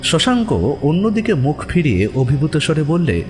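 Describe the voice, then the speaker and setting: A man narrating a mystery audio story in an expressive, scripted storytelling style. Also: a little high, moderate pace. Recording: music behind the voice.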